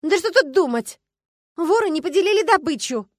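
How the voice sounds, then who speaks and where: Woman acting a shrill, hysterical rich heiress. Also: big pitch swings, moderate pace, no echo, clean studio sound. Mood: indignant. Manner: demanding, indignant, shrieking. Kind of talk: audiobook character voice.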